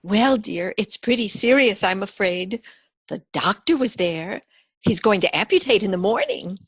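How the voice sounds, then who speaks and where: A woman playing a gentle, genteel, slightly hesitant elderly sister in a radio comedy. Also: mid-range voice, expressive, unhurried, breathy edge, no echo. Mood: earnest, gentle. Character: gentle, dainty elderly spinster sister, cheerfully macabre. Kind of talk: radio comedy theatre acting.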